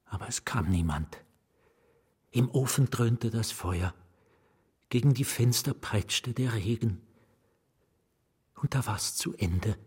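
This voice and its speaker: An actor playing an anguished, fearful novelist in a radio drama, narrating his own ruin with a husky voice that drops into whispers. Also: lowish voice, expressive, darkish tone, exaggerated voice. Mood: haunted, intense. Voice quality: husky, breathy. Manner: deliberate, dropping into whispers. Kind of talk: literary radio drama first-person narration.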